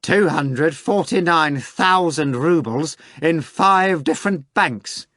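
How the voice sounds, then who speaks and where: A man's voice: on the high side, slow, a bit bright, no room sound, clean studio sound, pitched above the performer's own voice. A man voicing a mocking male demon with a cracked, rotten voice in an audiobook.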